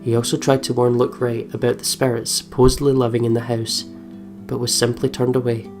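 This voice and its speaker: Let's play host, mid-pitched, informal and giggly, chats and reads aloud with game music behind. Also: level tone, a little fast, a little mellow. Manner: giggly, chatty. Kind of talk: casual chat and reading aloud over game. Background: plucked guitar game music.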